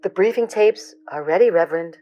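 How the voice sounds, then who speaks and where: An actress playing a polite, deferential secretary in an audio drama, with an apologetic manner. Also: female voice, fairly low pitch, a little fast, lo-fi sound, over loud music, close to an everyday voice.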